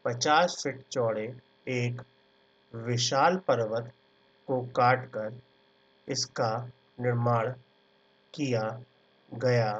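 Slow dictating male teacher, lo-fi hum. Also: medium pitch. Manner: dictates word by word, then explains pictures casually. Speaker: art exam teacher. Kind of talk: slow dictated lecture over handwritten notes. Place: at a computer, screen recording. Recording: dull lo-fi sound with mains hum. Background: faint electrical hum.

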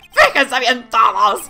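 Shrill, manic villain detective. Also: male voice, gravelly, sharp tone, full character voice. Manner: bombastic, exclamatory. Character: deranged theatrical detective villain, gloating madly. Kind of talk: video game dub by a fan reader.

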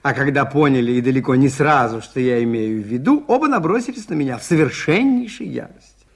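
Radio play: gentle, shrewd priest sleuth, slightly husky and rough, hesitant, courteous. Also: male voice, mid-range voice, animated, brisk. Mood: patient, explaining. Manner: hesitant, polite, quietly probing. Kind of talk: Soviet radio play acting.

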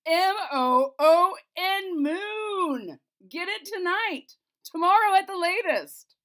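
A woman voicing a demanding old king in a storybook, loud and commanding, pitched high above her own voice. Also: lively intonation, takes it slowly, exaggerated voice.

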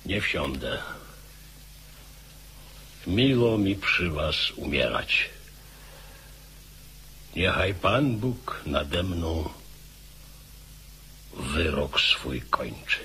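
An actor playing an old, defiant, weary military commander in a radio drama, with a hoarse, gasping voice. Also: male voice, low-pitched, big pitch swings, extremely slow, long pauses, sharp tone, slight background noise, heavily acted. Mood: resigned.

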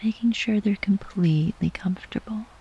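A woman guiding a sleep meditation in a soft, breathy, whispery voice, gently and soothingly, with long unhurried pauses. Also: medium pitch, highs cut off. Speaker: yoga nidra meditation guide.

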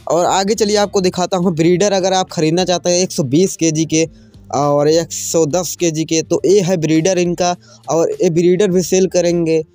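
Guy w high voice doing casual vlog narration, talks to viewers like friends, bit of music under it. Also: fairly even intonation, normal speed, mellow. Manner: informal, repeatedly addressing viewers as friends. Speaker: farm video channel host. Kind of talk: casual vlog narration, showing livestock for sale.

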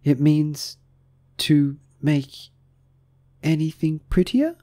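A man's voice: medium pitch, animated, moderate pace, darkish tone, husky, voice pushed up. A man voicing a polite, curious little girl from a storybook, with a questioning, slightly doubtful manner. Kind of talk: storybook character reading.

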